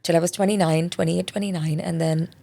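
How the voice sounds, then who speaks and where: A woman in her forties from India talks candidly about her life as an interview guest. Her voice sits low and carries a gravelly, crackly texture. She tells her story in a reflective, conversational way. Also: fairly even intonation, a little fast, dark tone. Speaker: guest, parenting specialist.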